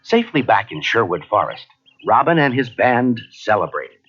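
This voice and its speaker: A man narrating a children's read-along story in a dramatic, expressive, scripted style. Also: lowish voice, moderate pace. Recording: muffled old cassette, music behind.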